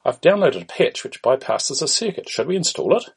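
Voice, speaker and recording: A man's voice: mid-range voice, sing-song, a little fast, vocal fry, breathy edge, pitched above the performer's own voice, exaggerated voice. A man voicing an eager young starship engineer, explaining earnestly and in detail.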